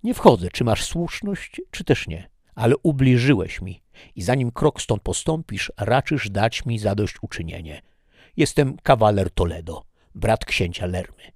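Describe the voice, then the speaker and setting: A man's voice: on the low side, animated, normal speed, a little mellow, no echo, clean studio sound. A man voicing a proud, honourable knight who speaks firmly and with dignity.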